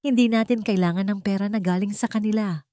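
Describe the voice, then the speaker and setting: A woman voicing a loving mother who speaks to her daughter gently and comfortingly. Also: mid-range voice, steady tone, quick pace, no echo, voice pushed up, natural voice. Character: loving working-class mother.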